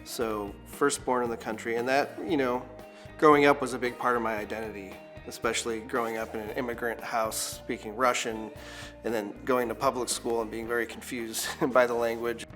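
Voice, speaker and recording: A man's voice: medium pitch, normal speed. Rambling man with music underneath. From United States. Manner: relaxed and rambling, many fillers and restarts. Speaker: recording studio owner. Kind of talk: casual documentary interview.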